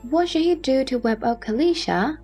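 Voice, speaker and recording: Earnest questioning bodhisattva , female performer, high-pitched, formal scripture drama delivery over faint music. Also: expressive, moderate pace, mellow, breathy edge, full character voice. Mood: earnest inquiry. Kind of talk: audio drama sutra recitation.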